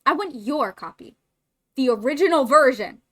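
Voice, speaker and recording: A woman's voice: high voice, lively intonation, normal speed, fairly bright tone. Woman doing a brash French rival chef. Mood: challenging. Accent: French, put on.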